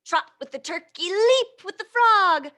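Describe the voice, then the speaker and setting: A woman hosting a storytime for young children, reading and leading action rhymes in a playful, encouraging, animated way. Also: very high-pitched, a little slow. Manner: playful, encouraging, checks in with listeners.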